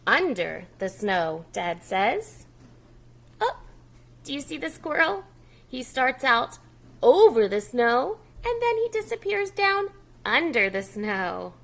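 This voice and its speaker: A woman's voice: high-pitched, very animated, slow pace, vocal fry, a bit bright. A woman preschool educator leading a read-aloud lesson for young children, speaking instructively and repeating key words. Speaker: preschool educator reading a picture book to children.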